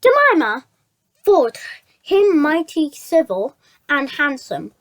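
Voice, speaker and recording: A high-voiced reader reading a children's story aloud, stumbling over words and repeating phrases. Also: very animated, slow, fairly bright tone, rough recording.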